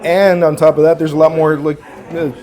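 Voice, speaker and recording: A man's voice: fairly high pitch, flat delivery, fairly quick, darkish tone. A man in his forties talking casually about his collection, with rambling restarts and a laid-back way of speaking. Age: 40s. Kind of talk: casual conversation. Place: indoor watch boutique event. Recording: voices over a chatty crowd.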